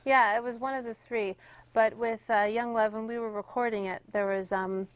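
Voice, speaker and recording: A woman's voice: on the high side, fairly even intonation, moderate pace. A woman speaking as a musician guest, telling stories casually and informally in an interview. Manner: informal, conversational storytelling. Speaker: singer-songwriter guest.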